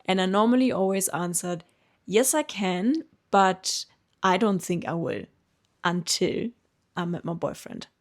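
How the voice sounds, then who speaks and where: A German woman in her late twenties, a native German speaker with a German accent, calmly telling her own story in an earnest, reflective vlog. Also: on the low side, unhurried. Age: late 20s. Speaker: vlogger. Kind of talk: reflective personal narration.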